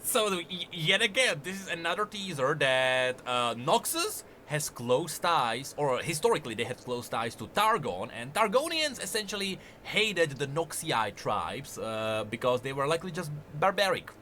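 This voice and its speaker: Male gaming commentator: higher-pitched, very lively, casual and emphatic, slight background noise. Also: normal speed, hardly any pauses. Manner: animated, emphatic, speculating aloud. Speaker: video game lore commentator.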